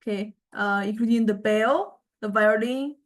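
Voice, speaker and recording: A woman lecturer giving an informal software demonstration, thinking aloud in a halting way as she goes. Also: mid-range voice. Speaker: music lecturer.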